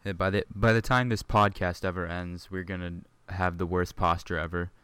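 A man's voice: low-pitched, fairly even intonation, normal speed, a bit bright, clean tone, dry recording. A young man co-hosting a casual podcast at home, joking and laughing a lot. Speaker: podcast co-host. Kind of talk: casual chat. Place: home, low chair at a table.

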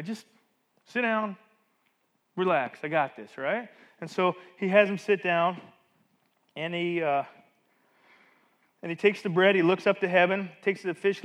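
High-voiced man preaching to a congregation. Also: fairly bright tone. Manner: conversational preaching, rhetorical questions to congregation; voice rises and falls sharply for emphasis. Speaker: pastor. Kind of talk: sermon. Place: church. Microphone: microphone.

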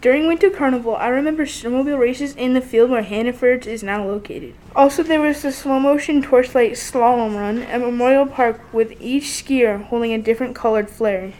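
A woman's voice: fairly high pitch, brisk, hardly any pauses, darkish tone, rough recording. A teenage girl, a student, reading written memories aloud in a plain way. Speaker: student reader.

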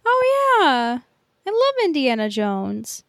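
A woman's voice: high voice, wildly swooping pitch, takes it slowly, a bit bright, no echo, studio quality. A woman co-hosting a podcast in casual pop-culture chat, informal and enthusiastic. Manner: informal, enthusiastic, swears freely. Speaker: podcast co-host.

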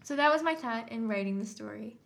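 Bright-voiced woman explaining reflectively. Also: a little high, expressive, a little fast, creaky. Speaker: podcast co-host and story writer. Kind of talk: casual podcast discussion.

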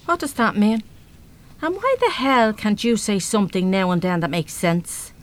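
A woman's voice: medium pitch, lively intonation, moderate pace, close to an everyday voice. An actress with an Irish accent playing a brash, big-hearted, uneducated working-class mother in a live radio play, talking bluntly and colloquially with mild swearing. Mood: indignant.

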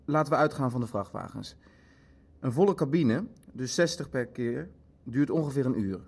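An actor playing a pragmatic, calculating Nazi official in a radio play, talking in a clinical, matter-of-fact way. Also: male voice, medium pitch, expressive, moderate pace, gravelly, a little mellow, slightly rough. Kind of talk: Dutch radio play dialogue.

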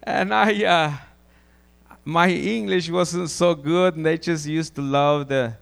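Guatemalan guy preaching, bit raspy fry, keeps restarting and repeating himself. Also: a little high, fairly even intonation. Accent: Guatemalan Spanish. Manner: halting, repeats words, restarts often. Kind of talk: informal testimony sermon.

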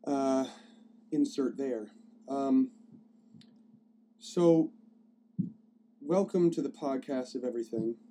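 A hoarse man with a cold hosting his own podcast, rambling casually with many fillers and restarts as he streams live from his phone. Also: medium pitch, takes it slowly, pauses often, warm tone, a little hiss or hum. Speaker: podcast host. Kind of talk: casual solo podcast talk.

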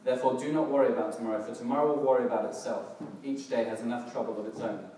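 Hoarse man preaching in echoey hall. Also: mid-range voice, rapid-fire. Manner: relaxed, self-deprecating, hesitant with fillers and restarts. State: slightly hoarse, crackly voice. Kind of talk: casual church sermon. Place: church hall, in front of a congregation. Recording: distant, very echoey hall with background noise.

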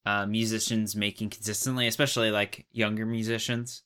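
Young man, interviewer, slightly low pitch, smooth clear tone, casual, tentative halting phrasing. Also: flat delivery, fairly bright tone. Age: young adult.

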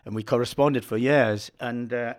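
A man's voice: medium pitch, steady tone, moderate pace. An older man, reminiscing in an interview and often repeating words as he tells his stories. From Northeast England. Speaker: folk singer of sea shanties.

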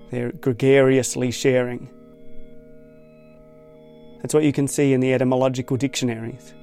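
A man from Tasmania with an Australian accent, reading a reflective scripted essay in a measured, thoughtful way. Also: mid-range voice. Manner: measured, thoughtful, even-toned. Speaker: essay narrator.

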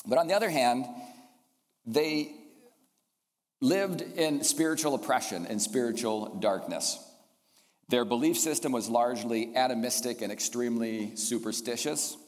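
A man's voice: mid-range voice, a little mellow. A man in his fifties, a teacher raised in Indonesia, giving an earnest, reflective talk to a school community with frequent ums. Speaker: math teacher. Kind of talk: chapel talk to students. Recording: long hall reverb.

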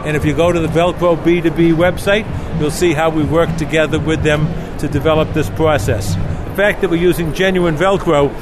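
A man's voice: a little high, steady tone, normal speed, hardly any pauses, music in the background. A man with a Boston accent, explaining a children's boot in a folksy way, often repeating words. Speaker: children's boot company representative.

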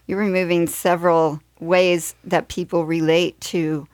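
A woman's voice: fairly low pitch, flat delivery, measured pace, darkish tone, dry recording, studio quality. A woman hosting a radio interview and introducing clips. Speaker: radio show host and interviewer.

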